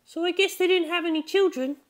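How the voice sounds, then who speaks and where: A man voicing a curious, timid high-school girl in an audiobook, asking things tentatively. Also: brisk, a bit bright.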